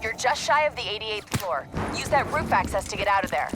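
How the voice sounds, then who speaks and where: An actress playing a focused military support operative in a video game, giving urgent, clipped guidance. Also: female voice, mid-range voice, lively intonation, fairly quick, very noisy, natural voice. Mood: brisk. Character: focused female mission-support operative guiding soldiers. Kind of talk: cinematic military game acting.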